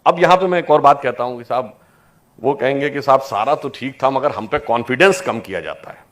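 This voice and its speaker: A man giving a persuasive political speech to an audience, with deliberate emphatic phrasing, rhetorical questions and English words mixed in. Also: mid-range voice, fairly bright tone. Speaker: political speaker.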